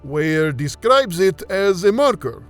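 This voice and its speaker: Audio drama scholar played by a man: earnest, emphatic, higher-pitched male with swinging intonation, faint music behind. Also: very animated, slow, a bit bright, full character voice. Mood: intent, secretive. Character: earnest Middle Eastern man, devout scholarly friend and host.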